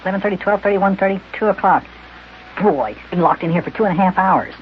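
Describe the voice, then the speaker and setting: A man voicing a small, excitable boy sidekick who frets and exclaims in an old-time radio children's serial. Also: sits high, fast, lo-fi sound, slight background noise, voice pushed up, close to an everyday voice. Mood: impatient, excitable. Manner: exclamatory, boyish, fretful. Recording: band-limited old radio recording.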